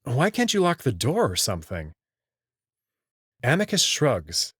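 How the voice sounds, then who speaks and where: This is a man playing the uneasy hero of a visual novel, telling his story in the first person. He is casual and a little wry, with lively intonation at an ordinary male pitch. The recording is dry and close. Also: unhurried, husky, dry recording, heavily acted. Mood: uneasy, reflective. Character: anxious, skeptical human man narrating his own story.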